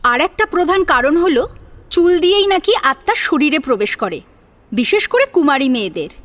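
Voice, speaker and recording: A woman narrating a scripted dramatic audio story in an expressive manner. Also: high voice, normal speed.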